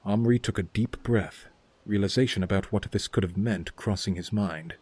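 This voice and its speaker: A man's voice: lowish voice, normal speed, darkish tone, husky, no echo. A man reading scripted narration for a fantasy story as its narrator.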